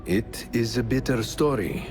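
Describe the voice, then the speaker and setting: An actor playing a calm, wise male assassin mentor who speaks in a measured, grave way. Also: mid-range voice, natural voice. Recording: game audio with music.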